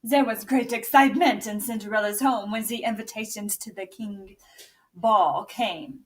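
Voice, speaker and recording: A woman's voice: mid-range voice, normal speed, far from the mic. A woman teacher reading a storybook aloud very expressively, recorded a little away from the mic.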